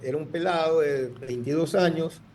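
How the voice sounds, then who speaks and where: A man's voice: fairly high pitch, normal speed, darkish tone. A man, telling his story in an interview, rambling with many restarts. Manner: rambling, full of restarts and fillers. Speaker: guest entrepreneur.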